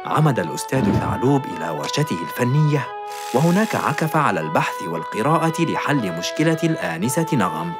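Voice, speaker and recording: A narrator telling a children's bedtime cartoon story in a calm, measured way. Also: mid-range voice, over loud music.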